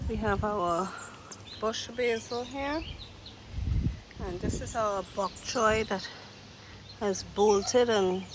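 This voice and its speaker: A woman with a nasal, stuffed-up voice gives a slow, casual tour of her garden, naming plant after plant. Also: medium pitch. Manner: slow, unhurried, pointing out plants one by one. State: nasal from a cold. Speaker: gardening vlogger. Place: outdoor garden. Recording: outdoor noise with birdsong. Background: birds singing.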